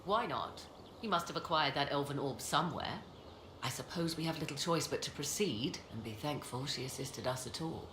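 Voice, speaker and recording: Very low-voiced, proud sorceress. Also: female voice, lively intonation. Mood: resigned, bitter. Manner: formal, deliberate, theatrical phrasing. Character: proud, guarded sorceress, a worried mother. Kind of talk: dramatic video game dialogue. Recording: echoey, noisy game scene capture.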